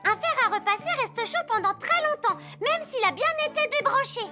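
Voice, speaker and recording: A woman's voice: very high-pitched, expressive, a little fast, music in the background. A woman voicing a sensible, cheerful young girl explaining safety in a cartoon. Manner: patient, explanatory.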